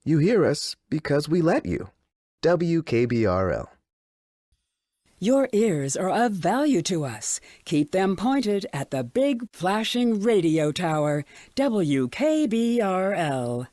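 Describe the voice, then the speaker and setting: Eerily cheerful space radio dj, smooth and reassuring, pitch moves around, super dry booth. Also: big pitch swings, slow pace, darkish tone, no echo. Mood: uncanny calm. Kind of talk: scripted fictional radio station patter.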